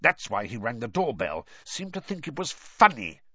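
A man voicing a gruff, short-tempered middle-aged uncle in a storybook reading, growling in clipped phrases through gritted teeth. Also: medium pitch, very animated, moderate pace, bright, forceful tone, no room sound, muffled recording. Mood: seething.

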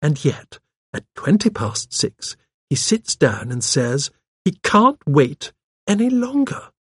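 A man voicing a gentle, shrewd elderly spinster, prim and musing, in an audiobook. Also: on the high side, big pitch swings, slow pace, breathy edge, dry recording, voice pushed up, full character voice. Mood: politely puzzled. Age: older. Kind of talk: audiobook character dialogue.

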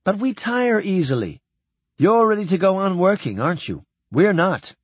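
A man plays a young space-navy midshipman, wry and easygoing. His chatty speech has very lively pitch and a slightly rough, husky grain. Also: moderate pace, no room sound, full character voice. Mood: frank.

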